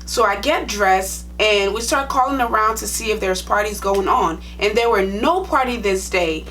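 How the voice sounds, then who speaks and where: A woman's voice: medium pitch, moderate pace, fairly bright tone, a little hiss or hum. A young woman with a Liberian accent, a nursing vlogger talking to her viewers in a chatty, direct, plain-spoken way. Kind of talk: casual storytime vlog.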